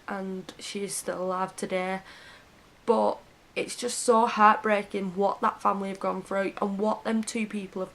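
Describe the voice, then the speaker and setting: A woman telling a true crime story to camera in an earnest, heartfelt way. Her voice is hoarse and sniffly from a cold. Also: medium pitch, a bit bright.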